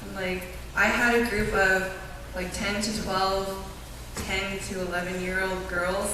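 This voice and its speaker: A young woman from a church youth group telling mission trip stories in a chatty, animated way, with many filler words. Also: mid-range voice, unhurried. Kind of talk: informal testimony to a church group. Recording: echoey room, distant mic, clear background noise.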